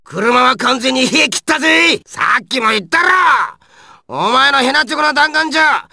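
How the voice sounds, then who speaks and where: A hot-tempered ice villain from an anime video game. The voice is very high for a male, harsh and gravelly, and it swings wildly in pitch. The delivery is coarse, aggressive and menacing. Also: lively intonation, moderate pace, pushed and bright, dry recording, clean studio sound, exaggerated voice. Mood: aggressive, triumphant. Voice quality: raspy, gravelly.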